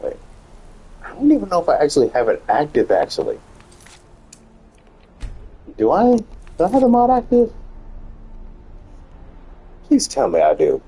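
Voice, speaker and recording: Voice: mid-range voice, huge pitch swings, moderate pace, long pauses, mellow, breathy edge, poor audio. A streamer chatting casually while playing, talking to themself and a friend. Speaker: gaming streamer. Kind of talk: casual gameplay commentary.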